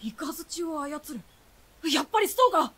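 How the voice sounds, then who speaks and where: High, determined young boy. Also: animated, moderate pace, fairly bright tone. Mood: firm, urgent. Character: level-headed, determined boy of twelve or thirteen.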